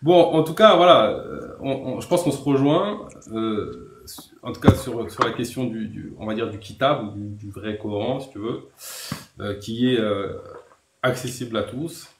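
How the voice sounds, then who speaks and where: A man's voice: on the low side, expressive, normal speed, slight background noise. A man taking part in a live online debate, stammering and restarting his sentences as he searches for words. His voice sounds roomy and echoey. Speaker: debate guest.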